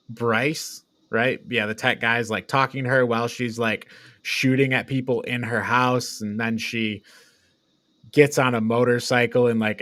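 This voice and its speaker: A man with a mid-pitched voice talks as a podcast co-host in a casual review chat. He recaps things loosely and conversationally. The sound is very dry, with little room in it. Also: bright, forceful tone, no echo.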